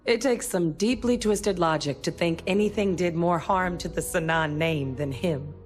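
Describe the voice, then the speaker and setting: A resolute woman scientist played by an actress talks in a fairly low voice. She is plain-spoken and businesslike, as in sci-fi game dialogue, with soft music behind her. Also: moderate pace, natural voice. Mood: bitter. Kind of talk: video game character dialogue.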